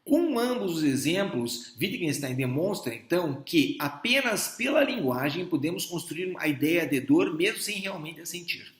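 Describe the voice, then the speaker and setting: A man's voice: fairly high pitch, very animated, moderate pace, hardly any pauses. A middle-aged Brazilian man giving a philosophy lecture to doctors, explaining step by step with frequent restarts and rhetorical checks. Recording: echoey room.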